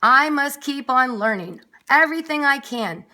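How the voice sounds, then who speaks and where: An earnest young male cat from a children's storybook, voiced by a woman reader. He talks to himself in a bright, fairly high voice, questioning himself and wanting to do right. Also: animated, normal speed. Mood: resolved.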